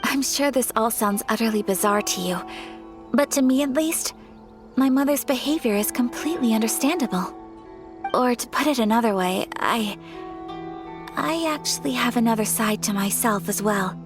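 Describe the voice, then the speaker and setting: A graceful young noblewoman, played by an actress, speaking softly and with care. Her voice sits a little high and sounds wistful. Faint music plays under her. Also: natural voice. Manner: soft, measured, wistful. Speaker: noble daughter of a clan. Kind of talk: game voice acting.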